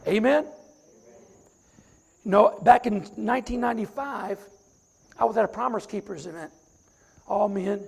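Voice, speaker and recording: A middle-aged man with a raspy voice, a deacon preaching a sermon and reciting scripture from memory. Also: high voice, poor audio.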